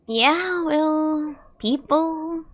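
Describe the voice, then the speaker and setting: A woman's voice: high-pitched, drawn-out words, slight background noise. A woman giving casual gameplay commentary as a streamer, chatty, reflective and jokey. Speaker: gaming streamer.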